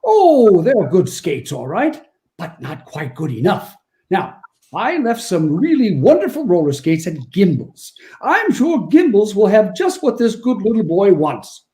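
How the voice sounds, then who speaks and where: A man's voice: sits high, huge pitch swings, a little slow, dark tone, heavily acted. A man playing a kindly, jolly old Santa Claus with a genial, courtly manner in a 1940s-style radio play.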